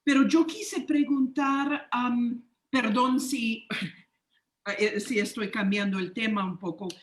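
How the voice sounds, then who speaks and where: A woman's voice: mid-range voice, reverberant, slight background noise. A woman on a discussion panel, speaking hesitantly with frequent fillers.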